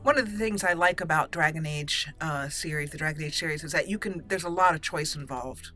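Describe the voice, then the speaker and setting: A woman's voice: deep voice, brisk, bright, forceful tone, faint music behind. A woman giving roleplay commentary as she plays a video game.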